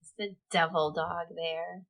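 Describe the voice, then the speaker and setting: A woman's voice: lowish voice, steady tone, unhurried, gravelly, fairly bright tone. A woman chatting casually, a little away from the microphone. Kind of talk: casual chat.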